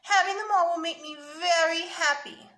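A very high, bright little boy's voice as a woman reader puts it on, excitable and whiny. He pleads and exclaims with childlike insistence in a storybook, in a roomy space with echo. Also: brisk. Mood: pleased. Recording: roomy echo, faint noise.

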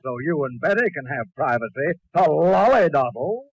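A man playing a gruff, impatient, blustering father in a 1940s radio comedy. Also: on the high side, sing-song, normal speed, no echo, highs cut off, exaggerated voice.

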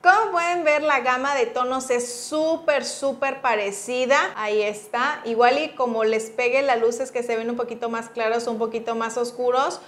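A woman's voice: a little high, steady tone, normal speed, few pauses, a bit bright. A woman with a Mexican accent giving a casual, chatty makeup review as a beauty vlogger, informal and full of affectionate diminutives. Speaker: beauty vlogger, channel host.

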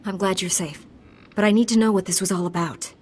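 An actress playing an earnest, resolute Jedi knight in a video game cutscene, speaking calmly and firmly. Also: female voice, mid-range voice, fairly quick, slight background noise, close to an everyday voice. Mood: earnest, serious. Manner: calm, firm, earnest.

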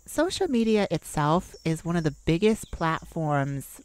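A woman's voice: low-pitched, big pitch swings, measured pace, a little mellow. A woman hosting an improvised ASMR-style self-care podcast, talking in an informal, reassuring way. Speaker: podcast host.

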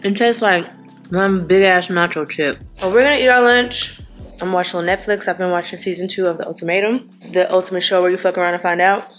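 A woman's voice: lowish voice, fairly even intonation, fairly quick, soft background music. A young woman vlogging casually to a handheld camera at home, chatty and teasing, bluntly scolding her daughter at times. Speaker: mom vlogger. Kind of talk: casual vlog chat to camera. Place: home kitchen and backyard pool. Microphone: handheld camera at arm's length.

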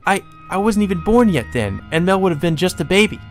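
A man's voice: high-pitched, big pitch swings, quick pace, faint music behind, voice pushed up. A man voicing a distraught, jealous young noblewoman from a visual novel, with a stammering, exclamatory delivery. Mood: shocked. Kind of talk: visual novel character read aloud by a player.

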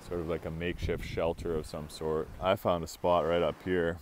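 A Canadian man in his thirties, tired after a long paddle, chatting casually to camera from a jungle beach on a handheld camera mic, relaxed and self-mocking. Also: deep voice, normal speed, noisy background. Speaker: vlogger, long-distance sea kayaker. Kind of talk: casual travel vlog to camera. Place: outdoors on a jungle beach by the sea.

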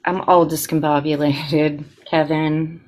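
Woman playing a flustered, lowish-voiced melodramatic woman. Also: little pitch movement, darkish tone, poor audio. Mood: flustered, dramatic. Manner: halting, earnest.

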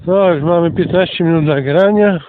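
A man's voice: a little high, normal speed. A man rambling casually as he hosts a walk through the countryside, recorded outdoors on a phone. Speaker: host of a homemade audio walk recording. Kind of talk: casual rambling commentary while walking. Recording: tinny phone-quality recording with outdoor noise.

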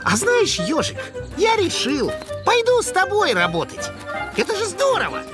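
A woman voicing an excitable, cheeky boyish young rabbit in an animated cartoon, with a bouncy, exclamatory manner. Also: very high-pitched, normal speed, over music, full character voice. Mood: cheerful.

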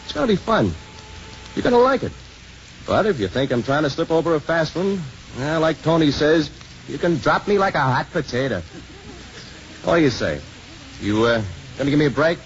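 Kindly priest in muffled old radio. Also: male voice, fairly high pitch, very animated, moderate pace, long pauses. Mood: easygoing persuasion. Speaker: radio actor. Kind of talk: 1950s radio acting. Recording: noisy muffled 1950s radio broadcast.

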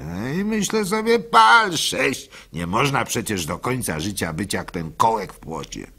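Radio play lead: naive village simpleton, slow-spoken and gravelly, theatrically halting storyteller. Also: male voice, lowish voice, very animated, pushed and bright, heavily acted. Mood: wry recounting. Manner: theatrical, halting, with stammered repeats, sighs and chuckles. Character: gullible, simple-hearted village fool recounting his life. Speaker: actor narrating a radio play. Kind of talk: dramatic first-person monologue in a radio play.

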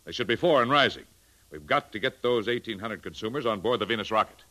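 An actor in a 1950s radio drama playing a hearty, domineering older agency boss with a paternal, declamatory manner. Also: male voice, on the low side, lively intonation, quick pace, very noisy, lightly acted. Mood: hearty, urgent.

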